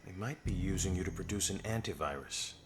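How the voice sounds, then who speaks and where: Deep-voiced wary clinical doctor played by a man. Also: brisk, background noise, lightly acted. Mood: grave, instructive. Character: wary, clinical older male doctor and pathologist. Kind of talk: measured dramatic video game acting. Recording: faint music behind.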